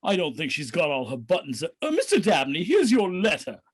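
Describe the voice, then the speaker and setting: A man playing a fussy, officious mailman with a prim, scolding and excitable manner in old-time radio comedy. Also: a little high, normal speed, husky, exaggerated voice. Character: fussy, officious male mailman, easily flustered.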